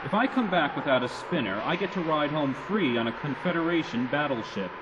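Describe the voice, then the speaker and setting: Dry, unflappable robot mercenary played by a man, lively intonation. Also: a little high, fairly quick, dull audio, natural voice. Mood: calm, insistent. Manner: dry, terse, matter-of-fact. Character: stubborn, unflappable two-hundred-year-old male robot mercenary. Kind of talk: radio drama acting. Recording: noisy ambience behind the voice.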